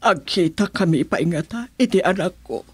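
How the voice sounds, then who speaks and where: A woman's voice: fairly low pitch, lively intonation, moderate pace, slightly rough, dry recording. A woman with a Filipino accent playing a loving, long-suffering mother in an Ilocano radio drama, emotional in her delivery. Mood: sorrowful longing.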